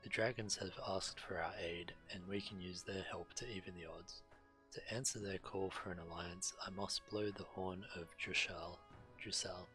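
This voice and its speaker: A man streaming a video game, reading quest text aloud in a theatrical, deliberate way. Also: low-pitched, steady tone, pushed and bright. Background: faint game music.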